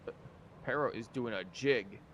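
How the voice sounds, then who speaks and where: A man's voice: medium pitch, normal speed, a bit bright, slight background noise. A man giving casual, offhand reaction commentary as a game streamer, with a wry manner. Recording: game music behind the voice.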